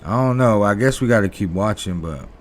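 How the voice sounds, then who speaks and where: A man hosting a reaction video, chatting casually and swearing as he thinks out loud. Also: lowish voice, expressive, brisk.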